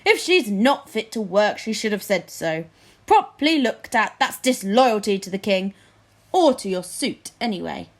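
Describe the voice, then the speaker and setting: A woman voicing a grumpy, callous male lord in a storybook, gruff and dismissive in manner. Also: medium pitch, big pitch swings, measured pace. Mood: grumbling.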